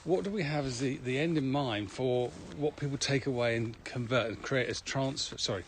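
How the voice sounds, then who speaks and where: A man's voice: mid-range voice, normal speed, slight background noise. A British man co-hosting a casual podcast, asking questions and echoing the answers back to sum them up. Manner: inquisitive, echoes and sums up answers. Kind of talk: casual conversational interviewing.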